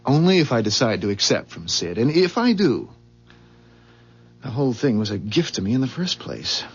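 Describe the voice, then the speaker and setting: A man's voice: mid-range voice, very animated, moderate pace, breathy edge. An actor playing an earnest, uneasy company employee in a 1970s radio drama, hesitant and stammering as he talks. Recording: dull vintage 1970s radio recording.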